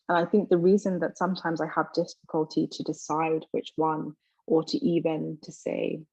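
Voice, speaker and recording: A young woman with a London accent, a native Twi speaker, speaks in a reflective, motivational way. Her lowish voice has a lot of fry and stays quite even, on a roomy call recording. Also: highs cut off. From London, England. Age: 20s. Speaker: guest speaker. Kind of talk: personal motivational talk and reflective answers.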